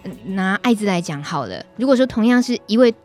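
A woman with a Taiwanese Mandarin accent hosts a conversational interview. Her pitch is average for a woman and her delivery is informal. Faint music plays in the background. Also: animated, fast, a little mellow. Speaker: radio show host. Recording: music playing behind the voice.

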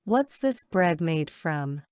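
A woman reading short English phrases for a language lesson, saying each one slowly and carefully twice. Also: deep voice, big pitch swings, a little mellow, smooth, no echo, clean studio sound. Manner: measured, careful enunciation. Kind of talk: slow repeated drill phrases.

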